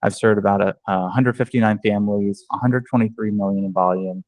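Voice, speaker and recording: Low-voiced man leading an online training. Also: fairly even intonation, a little fast, a little mellow, no echo. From New York. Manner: conversational, many ums and restarts. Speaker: real estate agent and trainer. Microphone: video call.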